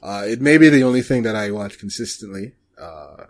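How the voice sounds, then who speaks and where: A man's voice: lowish voice, expressive, brisk. A man co-hosting a casual podcast about anime, speaking hesitantly and often trailing off. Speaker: podcast co-host.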